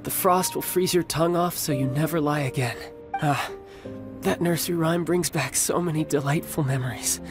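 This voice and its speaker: An actor playing a cocky, devoted young warrior and big brother in a video game, speaking with smooth, theatrical confidence. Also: male voice, on the high side, moderate pace, close to an everyday voice. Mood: wistful. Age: young adult. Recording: background music over studio voice. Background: loud game music.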